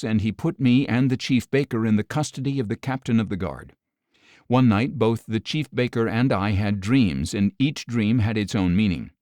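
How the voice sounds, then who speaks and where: A man reading scripture aloud as a contrite royal cupbearer, measured and deferential. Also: fairly low pitch, a little mellow, no room sound, clean studio sound, close to an everyday voice.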